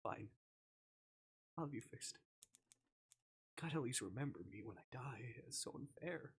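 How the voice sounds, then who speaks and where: A man's voice: mid-range voice, lively intonation, measured pace, slightly rough. A man voicing a despairing, bitter young man who is weary of living, in a dramatic visual novel reading. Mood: resigned, bitter.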